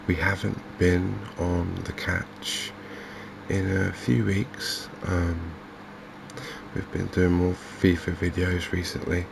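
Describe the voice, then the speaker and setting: A man with an English accent giving soft-spoken, hesitant, unhurried gameplay commentary in an ASMR style. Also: low voice. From England. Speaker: gaming video host. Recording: clear steady background noise.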